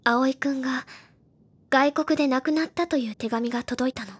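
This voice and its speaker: An actress playing a gentle, bookish teenage schoolgirl in a Japanese audio drama, with soft feminine phrasing. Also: high voice, normal speed, natural voice. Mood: gentle, wistful. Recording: music behind the voice. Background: clear background music.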